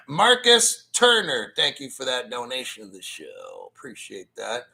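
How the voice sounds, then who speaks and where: A man hosting a crypto livestream, ranting bluntly and profanely, clearing his throat often and reading viewer comments aloud. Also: medium pitch, huge pitch swings, a little slow, pushed and bright. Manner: reads viewer chat donations aloud. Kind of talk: casual livestream rant.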